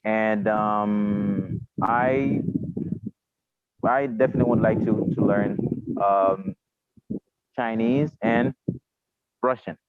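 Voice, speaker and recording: A man from Haiti whose first language is Haitian Creole, speaking English with a Haitian accent as a podcast guest, halting and repeating words as he searches for them. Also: on the low side, fairly even intonation, no echo. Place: outdoors, remote. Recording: tinny phone-like line.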